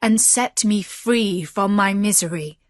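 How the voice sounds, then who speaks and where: Woman voicing a slow, tender young fairy-tale prince. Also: mid-range voice, no echo, clean studio sound, lightly acted. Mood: sad, pleading. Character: gentle lovelorn young prince in a dream.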